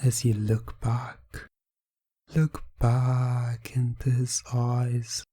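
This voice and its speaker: A man narrating an ASMR hypnosis story in a hushed, deliberate, soothing, repetitive way. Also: medium pitch, completely flat delivery, husky, dry recording, studio quality.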